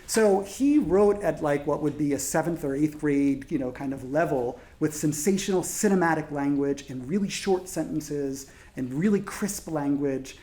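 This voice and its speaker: A man in his forties speaking as a translator on a panel, halting, with many fillers and restarts. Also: fairly high pitch, mellow. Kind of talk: panel discussion.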